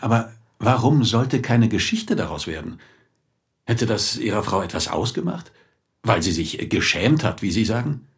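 An actor playing a sceptical police inspector in a radio play, questioning drily and insistently. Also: male voice, lowish voice, big pitch swings, moderate pace. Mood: probing. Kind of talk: naturalistic German radio play acting.

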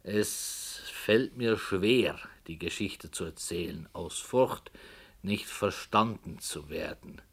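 A brooding radio-play author. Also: male voice, sits low, vocal fry, slightly rough, exaggerated voice. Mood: musing. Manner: slow, hushed, sometimes whispering. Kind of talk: 1960s radio play acting.

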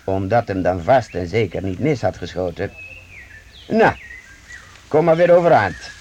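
Wise mentor, reassuring and measured. Radio drama, lowish lively voice, slight background noise. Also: male voice. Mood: alert, puzzled. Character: calm, wise schoolmaster guiding his young friends. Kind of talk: radio play acting.

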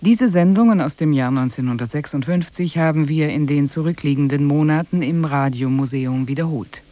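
A man's voice: fairly high pitch, normal speed, dark tone, dry recording. A man presenting a scripted radio feature in a studio, formal and precise, with a standard German accent.

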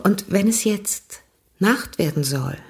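An actress playing a candid, skeptical young married woman in a radio drama, plain-spoken and questioning, with a low, breathy voice. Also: animated, slow. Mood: wavering, coy. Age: young adult. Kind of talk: German radio drama acting.

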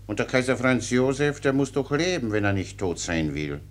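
An actor playing a cheerful, simple-minded soldier who rambles with naive, obedient earnestness in a German radio play. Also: male voice, mid-range voice, sing-song, normal speed, natural voice. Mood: earnest, servile.

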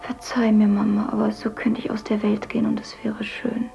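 A lonely whispering foster daughter. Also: female voice, mid-range voice, monotone, moderate pace, creaky, mellow, muffled recording, soft background music. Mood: longing. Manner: whispered inner monologue. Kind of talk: radio play acting.

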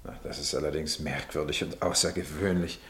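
Low-voiced, hesitant sheriff. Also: male voice, fairly even intonation, brisk, fairly bright tone, echoey room. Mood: curious, hesitant. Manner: hesitant, polite. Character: hesitant, mild-mannered sheriff visiting an old friend. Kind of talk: German radio play acting.

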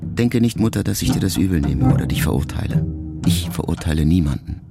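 A middle-aged actor playing a reflective grown son, a writer, who addresses his dying mother in a measured, confessional literary monologue for a radio play. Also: low voice, expressive, gravelly. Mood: sombre, brooding. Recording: music behind the voice. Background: music.

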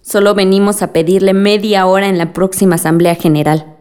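A woman's voice: medium pitch, little pitch movement, fairly quick, darkish tone, soft background music, close to an everyday voice. An actress with a Mexican accent playing a determined, spirited young woman from a village in a radio drama, polite but outspoken. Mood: polite, insistent. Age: young adult.

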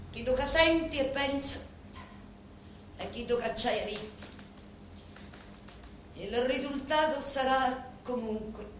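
Slow, brooding Sicilian woman. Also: fairly high pitch. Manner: slow, deliberate, brooding storytelling. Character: grave Sicilian woman confiding in a mafia boss. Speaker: stage actress in a radio drama. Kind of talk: dramatic theatrical monologue. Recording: roomy echo, noisy background.

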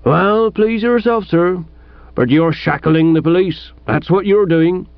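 A man voicing a ponderous, aggrieved village police sergeant in a comic audiobook, with a British accent and a dark, slow manner. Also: high-pitched, no echo, muffled recording, pitched above the performer's own voice. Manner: slow, dark, uneducated grammar.

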